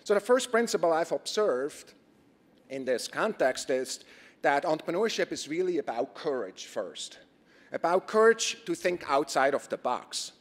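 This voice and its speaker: A man with a Swiss accent giving an earnest conference talk, posing rhetorical questions and pausing on fillers. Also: fairly high pitch, big pitch swings. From Switzerland. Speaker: conference presenter. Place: conference stage. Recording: reverberant lecture hall.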